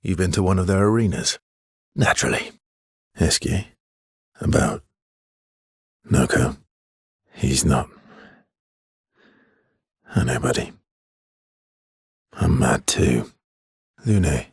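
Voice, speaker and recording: Low, husky, world-weary swordsman. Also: lively intonation, slow pace, pauses often, no echo, studio quality, heavily acted. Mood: guarded, dry. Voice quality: husky, gravelly. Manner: understated, hesitant, dryly teasing. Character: world-weary adult male expedition swordsman, guarded but wryly affectionate. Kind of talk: video game character dialogue, conversational.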